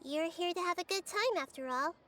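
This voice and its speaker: A woman voicing a gentle, wise, childlike girl deity in a video game, speaking thoughtfully and articulately. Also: very high voice, animated, a little fast, heavily acted. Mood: concerned, kind.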